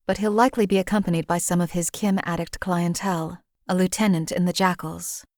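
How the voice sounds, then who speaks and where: A woman's voice: fairly low pitch, no room sound, close to an everyday voice. A sardonic female assassin who was once a slave, as a woman voices her, cold and precise. She cuts people down in a dry, condescending way. The studio sound is dead dry and close. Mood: businesslike. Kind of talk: game companion voice lines.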